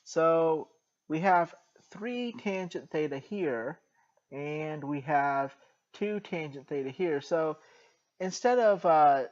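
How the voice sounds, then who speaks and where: A man teaching a math lesson in a soft voice, working through a problem step by step and slipping in dry jokes. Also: on the high side, lively intonation, takes it slowly, dull audio. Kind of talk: jokey recorded math lesson.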